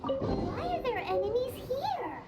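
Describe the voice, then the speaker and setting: A tiny, excitable companion creature from a video game, chirping in a sky-high, sing-song voice that swoops all over. She is bossy and quick to scold, with game music swelling loudly behind. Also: exaggerated voice. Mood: eager.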